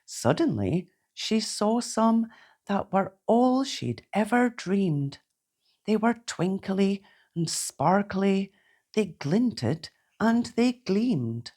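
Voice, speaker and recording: A woman reading a children's bedtime story aloud in a gentle, theatrical, deliberate way. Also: medium pitch, sing-song. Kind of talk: expressive read-aloud bedtime storytelling in rhyme.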